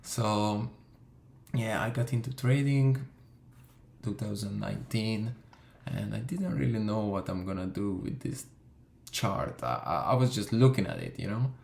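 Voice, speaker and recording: A man's voice: fairly low pitch, unhurried, vocal fry, darkish tone. A young man from Hungary tells his story in a casual, profane way, with lots of fillers. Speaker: vlogger. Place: room in London. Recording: echoey room.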